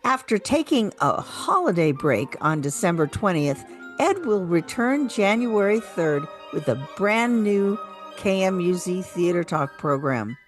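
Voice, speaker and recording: A woman's voice: on the low side, big pitch swings, measured pace, faint music behind. A woman co-hosting a radio show, asking questions and reading scripted announcements.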